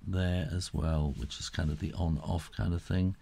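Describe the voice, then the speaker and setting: A middle-aged man giving a casual step-by-step drawing tutorial, unhurried and thinking aloud as he works. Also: sits low, steady tone, gravelly, mellow, breathy edge.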